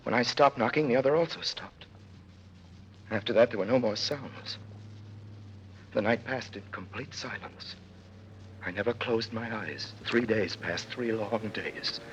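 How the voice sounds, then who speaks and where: A man's voice: fairly low pitch, measured pace, pauses often, fairly bright tone, highs cut off. An actor playing an anguished prisoner who recounts his years in a dungeon, grave and intense, in classic radio drama style. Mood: grave, despairing. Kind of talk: classic radio drama acting, dramatic first-person narration. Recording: noisy old radio recording.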